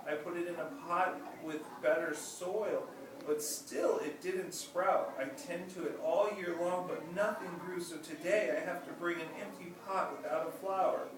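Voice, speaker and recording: A man puts on an earnest, modest young storybook boy, pitched up and pleading. The sound is very echoey and distant, with clear noise behind it. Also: steady tone, a little slow. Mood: tearful, pleading. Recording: echoey room, distant mic, background noise.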